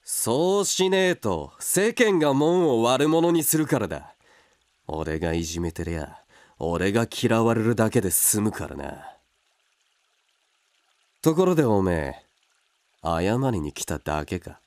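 An actor in a radio drama playing a coarse, hot-tempered older brother who is fiercely protective. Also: male voice, on the low side, expressive, unhurried, pauses often, a little mellow, no room sound. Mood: brooding. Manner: coarse, blunt rough speech. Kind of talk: Japanese radio drama acting.

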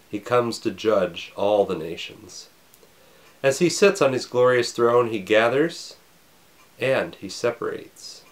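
Scratchy-voiced pastor preaching from home. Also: male voice, on the low side. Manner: earnest, measured preaching. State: scratchy voice with a cough. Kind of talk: recorded home sermon. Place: home study with bookshelves, indoors.

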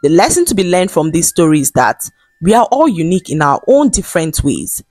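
A Nigerian-accented woman narrating a scripted folktale as a storyteller, with measured, expressive phrasing. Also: fairly low pitch, no echo, clean studio sound, soft background music.

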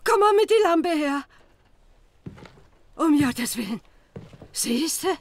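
A woman's voice: sits high, expressive, takes it slowly, full character voice. An actress playing a shrewd, bossy working-class wife and mother with a Berlin accent in a German audio drama, blunt and colloquial. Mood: alarmed.

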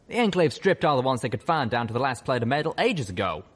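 A folksy pegasus stallion from a story reading, acted by a man. He speaks plainly and informally, at a pitch lifted above the narration. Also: big pitch swings, fast. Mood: explanatory.

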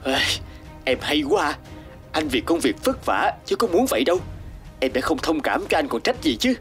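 A man's voice: fairly high pitch, very animated, normal speed. A man voicing a suave, patronising rich director. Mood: irritated. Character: wealthy male company director, smooth-talking, dismissive lover. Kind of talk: Vietnamese cartoon dubbing. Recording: guitar music under the voice.

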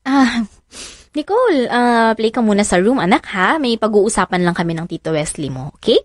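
A woman's voice: a little high, sing-song, moderate pace, dry recording, clean studio sound. A woman playing a devoted, determined mother in a radio drama, coaxing and pleading expressively. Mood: placating, pleading. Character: devoted, determined young mother and frustrated mistress.

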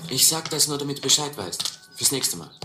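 An actor playing a tough, cynical young drifter in a radio play, blunt and streetwise. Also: male voice, mid-range voice, very animated, a little fast, lightly acted. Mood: frank. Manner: blunt, streetwise, self-justifying. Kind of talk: German radio play acting. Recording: roomy sound under loud music.

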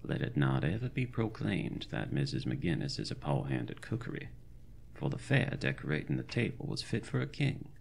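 A pompous old-time country doctor, acted by a man speaks in an extremely low, heavily creaking voice. His florid, wry diary delivery swoops expressively, made for a horror audio drama. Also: very animated, normal speed, slight background noise, heavily acted. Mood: self-satisfied, wry.

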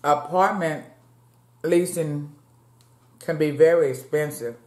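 A woman's voice: low-pitched, slow, a little mellow. Late-50s woman chatting n eating on cam at home, roomy echo. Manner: chatty, talks to viewers as y'all, eating between words. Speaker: mukbang vlogger. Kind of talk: casual chat while eating. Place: dim room at home, seated at a table. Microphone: fixed camera across the table.

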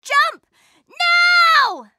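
An actress voicing a brave teenage heroine who gives orders in an earnest, commanding way. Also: female voice, very high-pitched, lively intonation, drawn-out words, bright, forceful tone, dry recording, studio quality, exaggerated voice. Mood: determined. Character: brave teenage heroine, level-headed leader. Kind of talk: animated series voice acting.

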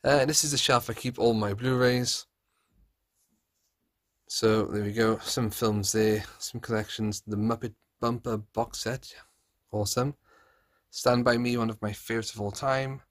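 A man in his thirties talks casually as he shows viewers around a room at home. His voice sits at an ordinary middle pitch, and he speaks off the cuff, correcting himself as he goes. It is recorded on a handheld phone indoors. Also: fairly even intonation, measured pace, dry recording, studio quality. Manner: chatty, off-the-cuff, self-correcting. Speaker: vlogger, collector. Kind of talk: casual room tour narration. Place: small rooms at home, indoors. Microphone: handheld phone, held by the speaker.

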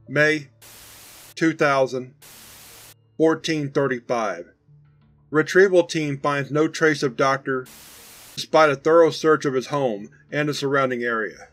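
A man reading a formal case file as scripted narration in a measured, official manner. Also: on the high side, a bit bright, faint music behind.

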